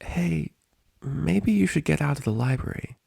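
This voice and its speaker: A man voicing a young baby dragon in a story reading, gentle, hesitant and worried. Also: a little high, animated, measured pace, creaky, breathy edge, no room sound, studio quality, pitched above the performer's own voice, exaggerated voice. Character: young male baby dragon assistant, concerned and timid.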